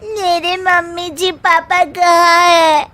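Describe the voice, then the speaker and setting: A whiny, bratty cartoon little girl, babyish and extremely high. The words are stretched out very slowly. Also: flat delivery, sharp tone, dry recording, exaggerated voice. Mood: whiny childish. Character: grown daughter-in-law pretending to be a bratty little girl. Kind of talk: Hindi cartoon dubbing.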